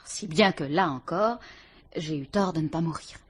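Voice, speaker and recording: A cool, defiant murder suspect. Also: female voice, deep voice, moderate pace, husky. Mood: cool, guarded. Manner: cool, guarded, sarcastic replies. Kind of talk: vintage French radio drama acting.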